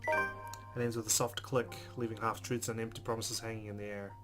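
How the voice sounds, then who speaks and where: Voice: mid-range voice, little pitch movement, fairly quick. Game player reading over plucked guitar music. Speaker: let's player reading game narration aloud. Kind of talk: reading story narration with casual asides.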